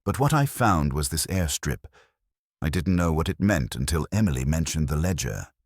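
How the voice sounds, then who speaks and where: Man reading a low-voiced, guilt-burdened woman client. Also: sing-song, moderate pace, no room sound, studio quality, full character voice. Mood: earnest.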